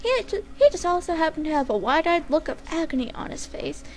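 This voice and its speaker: A woman's voice: very high-pitched, sing-song, brisk, a little mellow. A woman giving an amateur dramatic narration of a comic fan-written story. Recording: faint background noise.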